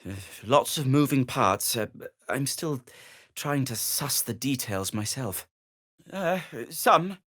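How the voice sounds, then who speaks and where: An actor playing an earnest, fussy, melancholy gnome inventor in a video game, with a hesitant, wordy and old-fashioned polite way of talking. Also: male voice, mid-range voice, animated, slow pace, a bit bright, dry recording, studio quality. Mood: anxious, earnest. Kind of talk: video game character dialogue.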